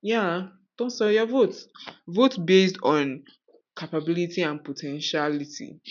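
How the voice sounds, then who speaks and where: A woman's voice: lowish voice, unhurried, lo-fi sound. A woman with a Nigerian accent hosting a podcast, talking casually and outspokenly with rhetorical questions. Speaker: podcast host. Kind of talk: casual conversational podcast talk.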